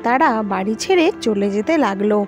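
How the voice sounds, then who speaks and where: A woman reading scripted storybook narration for an animated moral tale. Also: mid-range voice, a little fast, soft background music.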